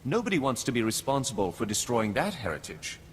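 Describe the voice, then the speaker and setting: A man's voice: mid-range voice, very animated, a little fast, close to an everyday voice. A man playing a stern, aristocratic spymaster in a video game, giving orders in a formal, commanding manner. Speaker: character actor in a video game. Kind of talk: scripted game dialogue. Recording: long hall reverb, faint noise.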